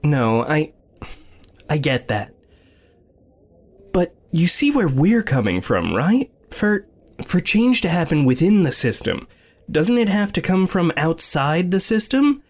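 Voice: medium pitch, very animated, slow pace, heavily acted. An actor playing a skeptical, sardonic young recruit in an audio drama, hesitant and emotionally guarded. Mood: challenging. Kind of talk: naturalistic audio drama dialogue.

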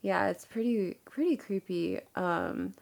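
Husky-edged young woman with a head cold. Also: medium pitch, wildly swooping pitch, slow pace, a little mellow. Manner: chatty, laughing, filler-heavy. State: nasal from a cold. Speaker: podcast co-host. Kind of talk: casual chat.

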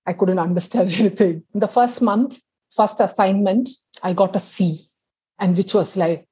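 Wanita India bersuara serak, bercerita santai. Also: female voice, medium pitch, moderate pace, mellow, breathy edge, rough recording. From India (Kerala). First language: Tamil. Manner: chatty, many fillers, laughs through stories. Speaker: guest. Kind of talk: casual interview chat.

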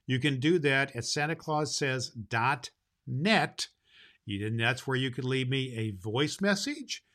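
A man's voice: mid-range voice, pushed and bright. A man playing a jolly, kindly Santa Claus hosting a children's holiday show, folksy and rambling, repeating phrases for emphasis. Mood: warm, inviting. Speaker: host.

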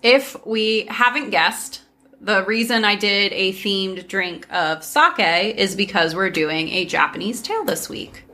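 A woman's voice: medium pitch, a little slow, pushed and bright. A woman hosting a casual podcast, chatting breezily with friends and leading into a folk tale. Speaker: podcast host. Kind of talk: casual storytelling chat with friends.

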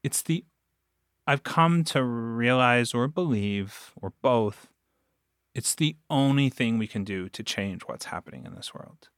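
A man's voice: medium pitch, expressive, unhurried, smooth, no room sound, studio quality. A man speaking as a producer and guest in a conversational podcast interview, earnest and emphatic as he explains and recounts. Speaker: audio drama producer and guest.

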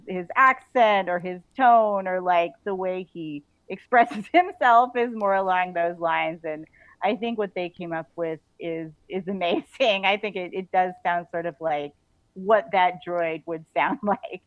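A woman author talking casually as a podcast guest, halting and chatty, often saying like. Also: fairly low pitch, dry recording. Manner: halting and chatty, frequently says like. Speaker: guest author and audio drama writer. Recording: dull lo-fi audio.

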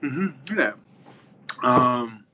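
Guy from liberia being interviewed on a podcast, bright voice, explains things in a measured way, kinda dull call quality. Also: mid-range voice, animated. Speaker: podcast guest, doctor. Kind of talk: conversational interview. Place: at his workplace, on a remote call.

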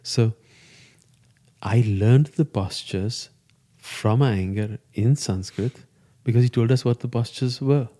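A man from India with an Indian accent, whose first language is Gujarati, speaking calmly in a casual conversation as a yoga teacher. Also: medium pitch, slow pace. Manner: calm, with short affirming replies.

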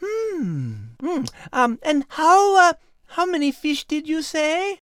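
A woman's voice: sits high, big pitch swings, slow pace, fairly bright tone, dry recording, studio quality, heavily acted. A woman voicing a refined, sassy French cat with a prim, elegant manner in a children's audio story. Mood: sly interest.

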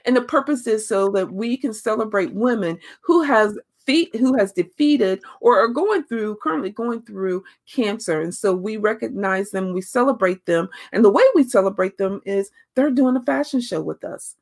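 A woman's voice: mid-range voice, expressive, normal speed, few pauses, darkish tone. A woman hosting a podcast, chatty and loose, laughing easily as she rambles through her intro. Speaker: podcast host.

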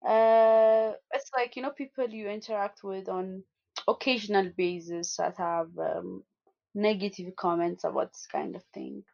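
Young woman activist, earnest interview answers full of restarts, mid-pitched, slightly muffled lo-fi audio. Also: fairly even intonation, a little slow, gravelly, a little mellow. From Ethiopia. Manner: earnest, with many restarts and fillers. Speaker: activist guest.

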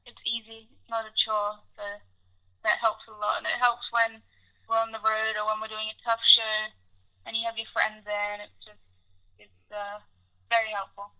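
A woman's voice: fairly high pitch, monotone, moderate pace. A woman musician chatting casually in an interview over a dull telephone line. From grew up in Africa and Indonesia. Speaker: band musician being interviewed. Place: phone call.

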